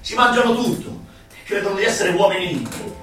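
An actor playing an anxious, nostalgic rebel clerk in an Italian dystopian radio drama, earnest and swinging from hushed to heated. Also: male voice, sits high, expressive, quick pace, recorded from a distance. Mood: angry, anguished. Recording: echoey, off-mic radio play over music.

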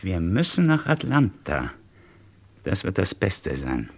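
An actor playing a terse, decisive smuggling conspirator in a vintage German radio crime drama. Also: male voice, low voice, wildly swooping pitch, slow, exaggerated voice. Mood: alarmed, decisive. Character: decisive male smuggling conspirator, alert, in command.

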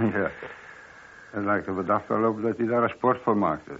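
An actor playing a chatty, deferential but opinionated middle-aged police sergeant in a radio play. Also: male voice, on the low side, normal speed, a bit bright, a little hiss or hum, close to an everyday voice. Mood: chatty, reporting. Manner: talkative, colloquial, deferential. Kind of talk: radio drama acting. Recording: dull, lo-fi old radio recording.

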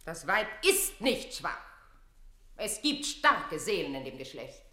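An actress playing a proud, commanding queen in a classical radio play, with regal, measured, declamatory delivery. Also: fairly low pitch, big pitch swings, pushed and bright, reverberant, heavily acted. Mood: stern indignant.

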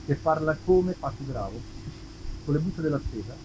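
A man's voice: on the high side, lively intonation, normal speed, background noise. A man, a musician telling wry anecdotes casually to an audience between songs at an outdoor concert. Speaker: musician, singer-songwriter. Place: outdoor festival stage in an olive grove. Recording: live outdoor concert recording, guitar behind.

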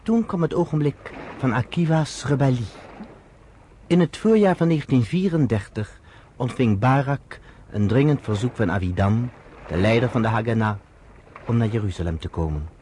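A man's voice: medium pitch, expressive, normal speed, warm tone. A man narrating a scripted radio drama as its storyteller. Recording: faintly noisy old radio recording.

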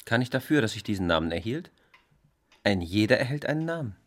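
Radio drama: weary, brooding brother, lowish voice, grave and ominous, highly varied intonation. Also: male voice, sing-song, fast talker. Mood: grave, warning. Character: brooding, wounded, resentful brother, pious and weary. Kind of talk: German radio play acting.

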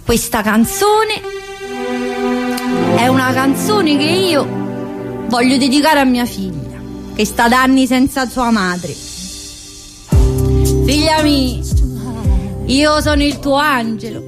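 A woman's voice: high voice, expressive, takes it slowly, pauses often, over loud music. An actress playing a chatty, wistful mother in prison, in a colloquial theatrical monologue with a Southern Italian, Neapolitan-tinged accent. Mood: eager, chatty. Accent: Southern Italian, Neapolitan-influenced. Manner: colloquial, addressing listeners with frequent tag questions.

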